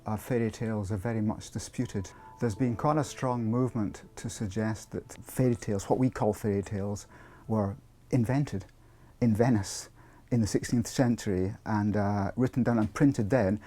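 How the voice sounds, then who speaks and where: A man speaking as an academic expert in an interview, explaining in a measured, scholarly way with hesitant phrasing. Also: lowish voice, mellow.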